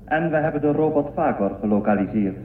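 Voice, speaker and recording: An actor playing a watchful angel aboard a spaceship in a radio drama, formal and measured. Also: male voice, on the high side, lively intonation, lightly acted. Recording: echoing thin phone-like sound, faint noise.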